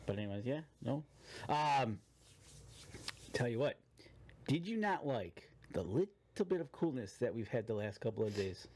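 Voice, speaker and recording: A man's voice: mid-range voice, big pitch swings, normal speed, pauses often, darkish tone. A man co-hosting a casual podcast, chatting in an easygoing way and plugging sponsor codes. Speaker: podcast co-host. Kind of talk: casual live podcast chat. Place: cabin.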